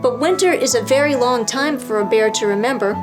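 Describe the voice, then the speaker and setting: Gentle male storybook bear played by a woman, friendly and expressive, high voice, music behind. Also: brisk, natural voice. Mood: wistful.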